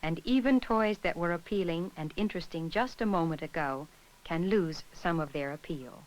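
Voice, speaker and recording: A woman reading scripted narration for an educational film in a measured, instructional way. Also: fairly low pitch, a little mellow, low-quality recording.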